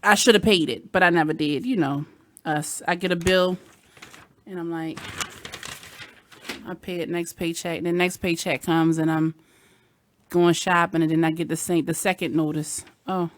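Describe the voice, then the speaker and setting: A woman's voice: fairly low pitch, fairly even intonation, moderate pace, pauses often, no room sound. A woman radio host with a Baltimore accent, chatting candidly with sassy asides. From Baltimore, Maryland. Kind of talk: casual chat. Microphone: headset.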